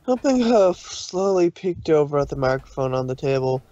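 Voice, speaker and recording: A man narrating a scripted story, somewhat haltingly, with occasional stumbles over the words. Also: on the high side, big pitch swings, mellow, muffled recording.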